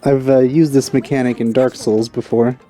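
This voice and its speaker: A man giving casual, low-key, offhand commentary as he plays a video game. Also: little pitch movement, normal speed, mellow. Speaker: let's play gamer. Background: faint game music.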